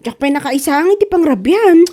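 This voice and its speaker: A woman's voice: sits high, animated, brisk, warm tone. A woman with a Filipino Ilocano accent playing a hard-up, complaining wife who keeps borrowing, in a comedy radio drama. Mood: pleading.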